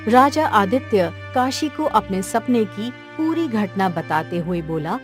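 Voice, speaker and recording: A woman with a mid-pitched voice narrates a scripted cartoon fairy tale. She is the storyteller, with music playing behind her voice. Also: animated, a little slow.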